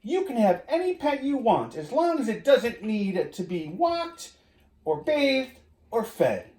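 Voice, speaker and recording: An exasperated storybook mother, acted out by a man reading aloud, lays down terms in a raised pitch. The words are stretched and emphatic, in an echoey room. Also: very animated, slow, a little mellow, full character voice. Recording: roomy echo.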